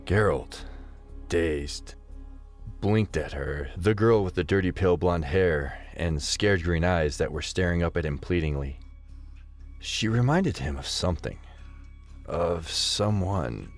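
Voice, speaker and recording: Man, deep voice, dramatic scripted narration, lively intonation, faint music underneath. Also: unhurried.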